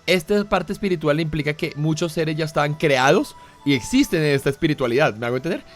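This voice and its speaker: Male host, mid-pitched, talks at length, faint music. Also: animated, quick pace. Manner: clarifies at length with restarts. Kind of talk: talk show hosting.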